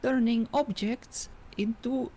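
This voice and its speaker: A woman improvising in-character conversation in an online game, halting as she searches for words. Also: fairly high pitch, steady tone, mellow.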